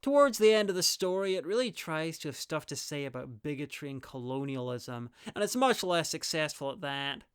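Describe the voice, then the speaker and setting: A man reads scripted review narration in a wry way. The voice is smooth and clear, pitched a little high for a man. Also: animated, normal speed, few pauses, fairly bright tone.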